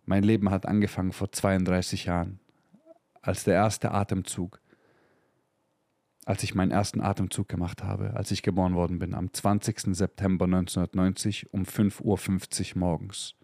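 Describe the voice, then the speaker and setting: Low-voiced man giving a fiery motivational talk. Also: level tone, normal speed, creaky, warm tone, no echo, studio quality. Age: 30s. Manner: emphatic and profane, mixing in English slang. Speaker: podcast host. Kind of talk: motivational podcast monologue.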